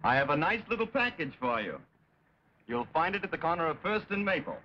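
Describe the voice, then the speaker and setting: A man's voice: fairly high pitch, big pitch swings, brisk. An American man playing a suave, flippant young playboy crimefighter in a breezy, dry 1940s film serial style. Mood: brisk, sly. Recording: muffled 1940s film soundtrack, faint noise.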